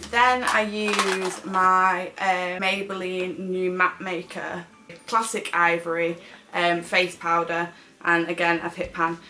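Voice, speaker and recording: A woman's voice: on the low side, fairly bright tone. A British woman chatting casually as a beauty vlogger reviewing products.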